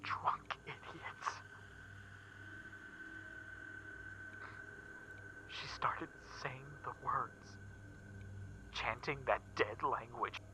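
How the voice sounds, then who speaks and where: A man playing a shaken young witness recounting an occult horror in a first-person story reading, halting and emphatic, with stammers and repeats. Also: medium pitch, in a whisper, natural voice. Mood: hushed, dread. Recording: muffled recording with background music. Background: eerie background music.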